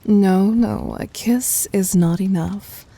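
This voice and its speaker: A woman voicing a commanding, possessive yet tender demon queen in an ASMR roleplay, soft, husky and often near a whisper, with imperious, teasing delivery. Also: mid-range voice, slow pace. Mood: pouting, reproachful.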